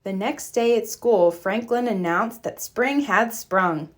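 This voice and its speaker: A woman narrating a children's picture book aloud, gentle and expressive. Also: medium pitch, slow. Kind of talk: read-aloud storybook narration.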